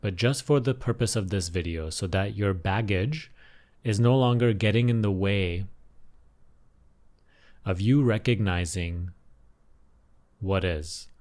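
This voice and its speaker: Low-voiced man guiding a meditation. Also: a little mellow. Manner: unhurried instructing with repeated phrases and pauses. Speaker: meditation teacher. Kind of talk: guided meditation, unscripted gentle instruction.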